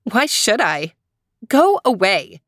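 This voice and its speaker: A proud young woman of folktale, acted out by a female storyteller. Her voice sits higher, with a scornful, dismissive edge and rude impatience. The sound is dry and close. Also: very animated, takes it slowly, fairly bright tone, no room sound. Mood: haughty, annoyed. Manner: scoffing, dismissive.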